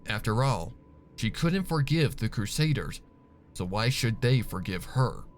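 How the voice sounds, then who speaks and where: A man reads a story aloud in a dramatic, scripted style. His mid-pitched voice moves unhurriedly and rises and falls with plenty of variation, over a music bed. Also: big pitch swings, unhurried. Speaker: fan fiction reader.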